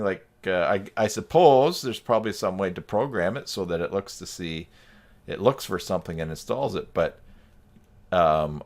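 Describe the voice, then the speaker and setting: A man chatting casually on an online video call, in a slightly hesitant, rambling way. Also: fairly low pitch. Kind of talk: casual video call chat about web tools.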